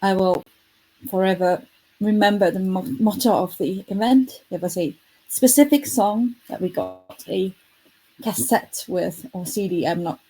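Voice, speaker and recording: Mellow, husky woman. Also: medium pitch, measured pace, rough recording. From Estonia. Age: 30s. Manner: earnest, self-correcting, lightly self-deprecating. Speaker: futurist presenting her product. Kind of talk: live online presentation.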